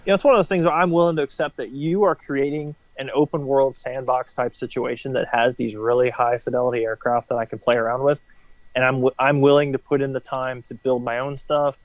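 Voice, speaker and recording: A man's voice: medium pitch, steady tone, brisk, no room sound, poor audio. A man talking casually as an interview guest, explaining things informally and often checking in with "right?". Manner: informal, explanatory, frequent "you know" and "right?". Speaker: interview guest, former military helicopter pilot.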